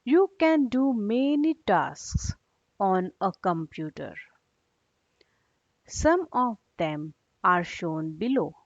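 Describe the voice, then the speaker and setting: A woman's voice: mid-range voice, big pitch swings, slow pace. A woman teacher giving a read-along lesson for young children, explaining in a didactic way and checking understanding with short questions. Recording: dull, echoey room recording.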